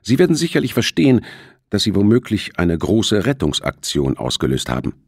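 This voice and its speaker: A man's voice: fairly low pitch, wildly swooping pitch, fairly quick, a little mellow, breathy edge, no room sound, studio quality, voice pushed up, heavily acted. A man voicing a proud, formal naval commander with a reproving manner in an audiobook thriller.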